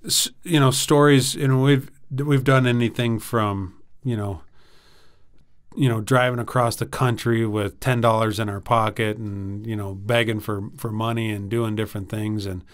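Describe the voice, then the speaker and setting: An American man in his forties telling anecdotes casually as a podcast guest, halting and self-deprecating, on a studio mic. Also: fairly low pitch, fairly even intonation. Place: small acoustically treated podcast studio. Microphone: studio microphone on boom arm.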